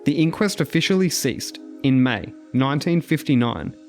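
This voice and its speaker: A man's voice: mid-range voice, big pitch swings, normal speed, darkish tone, soft background music. A man reading scripted documentary narration as a podcast narrator.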